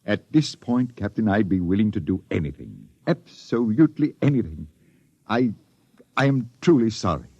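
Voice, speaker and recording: An actor playing a guilty expedition doctor, speaking hesitantly and apologetically in a 1950s radio drama, recorded as an old broadcast. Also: male voice, lowish voice, big pitch swings, moderate pace, mellow, slightly rough, no echo, dull audio, heavily acted. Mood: contrite.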